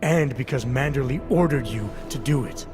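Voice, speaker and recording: An actor playing a stoic, defiant special agent in a video game, speaking in a terse, dry, controlled manner. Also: male voice, medium pitch, normal speed, lightly acted. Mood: accusing. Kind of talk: cinematic video game acting. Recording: game soundtrack music behind.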